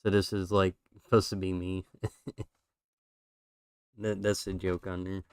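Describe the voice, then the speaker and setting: A man's voice: sits low, unhurried, smooth, dry recording. A man streaming, chatting casually and rambling through his drawings while losing his voice. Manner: relaxed, rambling with fillers.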